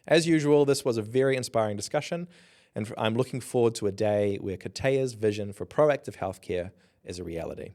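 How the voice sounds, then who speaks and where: Guy hosting a podcast, lowish voice, chatty, lots of ums. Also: a little fast, darkish tone, no echo, studio quality. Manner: friendly, chatty, with frequent fillers. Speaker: podcast host. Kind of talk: podcast interview, casual chat.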